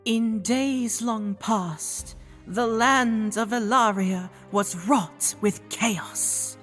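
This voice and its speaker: A woman's voice: fairly high pitch, extremely slow, fairly bright tone, soft background music. A woman playing a solemn oracle in a fantasy audio drama, speaking in a grave, ceremonial way. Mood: solemn proclaiming. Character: solemn female oracle addressing her people.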